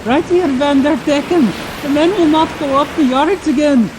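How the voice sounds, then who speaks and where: A high-pitched, dutiful ship's mate, played by a woman, speaks with earnest urgency in an old-style radio play. Loud storm noise surrounds the voice. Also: moderate pace, natural voice. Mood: urgent, pleading. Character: anxious, dutiful male first mate on sailing ship. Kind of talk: old-time radio drama acting.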